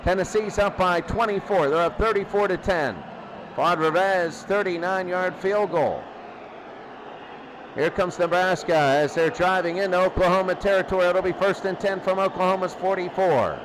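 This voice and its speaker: Male play-by-play announcer, high voice, excitable and informal, repeating short phrases over clearly audible background noise. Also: normal speed. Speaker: play-by-play commentator. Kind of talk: informal play-by-play football commentary. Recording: noisy, steady background rumble.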